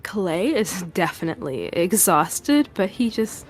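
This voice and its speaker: A woman's voice: lowish voice, measured pace, slight background noise. A woman playing a tabletop game, describing her character's moves and laughing often. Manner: lively, laughing, swears freely. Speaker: player.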